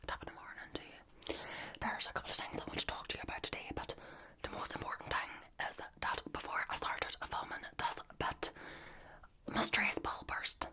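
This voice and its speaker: A woman's voice: fairly quick, sharp tone, natural voice. Woman putting on a whispery frazzled lady, keeps repeating herself, asmr vibe. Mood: exasperated. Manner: whispered, repetitive, exasperated rambling. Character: frazzled woman whispering about a burst stress ball. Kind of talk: ASMR role-play.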